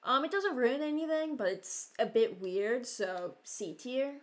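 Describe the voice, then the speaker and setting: A woman's voice: on the high side, expressive, a little slow. A young adult woman chatting casually as a video creator, rambling and hesitant as she thinks aloud. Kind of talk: casual reaction chat.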